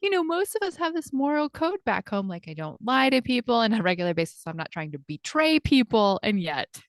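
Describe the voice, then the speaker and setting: A woman's voice: a little high, very animated, fairly quick, no room sound. A woman hosting a podcast, chatting in a thoughtful, agreeable way with plenty of casual fillers. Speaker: podcast host, life coach. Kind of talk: casual conversation.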